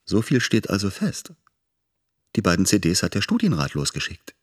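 A man playing a wary, brisk character recounting his dealings in a radio drama. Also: low voice, huge pitch swings, slightly rough, exaggerated voice. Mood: brisk, puzzled.

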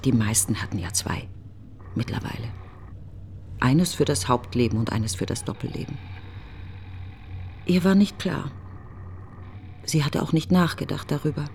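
A woman's voice: extremely low pitch, lively intonation, normal speed, long pauses, a little mellow, slight background noise. An actress playing a grieving, self-accusing woman who broods in fragmented questions in a literary radio play monologue. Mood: brooding. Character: grieving, self-accusing woman mourning her best friend.

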